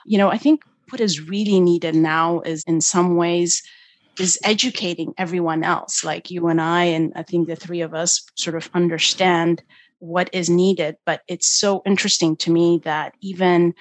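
A woman with a lowish voice, from Ethiopia, speaks as a guest on a podcast interview. She talks in an animated way and thinks aloud, leaning on fillers as she goes. The recording is very dry and close, with no room sound. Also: normal speed, no echo. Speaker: guest, energy policy expert.

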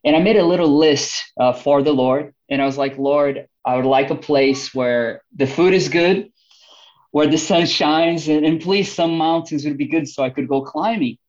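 A man's voice: on the high side, normal speed, darkish tone, dull audio. A man in his thirties from Brazil with a Brazilian accent, a missionary guest talking eagerly on a podcast with many restarts. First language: Portuguese. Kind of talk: podcast interview.

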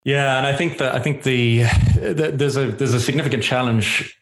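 A man's voice: mid-range voice, fairly even intonation. A man hosting an interview, asking long questions with frequent hesitations and restarts. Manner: halting, many fillers and restarts while framing questions. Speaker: interview host. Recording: echoey room.

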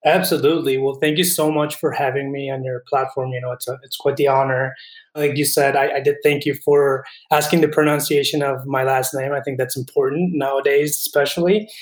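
A man's voice: mid-range voice, steady tone, brisk, echoey room. Guest, man in his 20s from Colombia, earnest and thoughtful, mid-range voice, restarts often. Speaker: veterinary student guest. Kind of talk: interview answers.

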